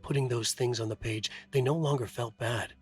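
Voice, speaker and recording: A man's voice: mid-range voice, steady tone, moderate pace, husky, faint music behind, natural voice. A man playing a haunted writer in a video game, narrating his nightmare in terse, brooding, dramatic lines. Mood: bleak, intense. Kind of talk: scripted noir-style game narration.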